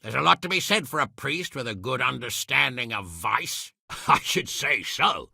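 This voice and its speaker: Game lord: middle-aged man, gruff, commanding, forceful and sharp, with big swings in pitch. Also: lively intonation, normal speed, no room sound, studio quality, exaggerated voice. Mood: gossipy, amused. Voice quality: gravelly, husky. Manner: commanding, colourfully cursing, hearty. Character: blustering, shrewd medieval lord, gruff and quick-tempered. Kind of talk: video game character acting.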